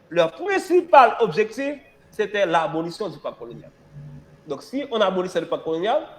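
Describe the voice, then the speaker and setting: A man teaching history in a classroom, hoarse from a cold, dictating slowly, repeating phrases and spelling words out letter by letter. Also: high voice. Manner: emphatic rising stresses, rhetorical questions.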